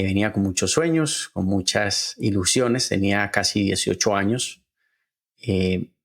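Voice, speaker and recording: Podcast host, Colombian man from Cali, late thirties, slightly low voice, sincere and deliberate personal monologue. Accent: Colombian Spanish from Cali. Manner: earnest, halting, with frequent "eh" fillers and slow deliberate stretches.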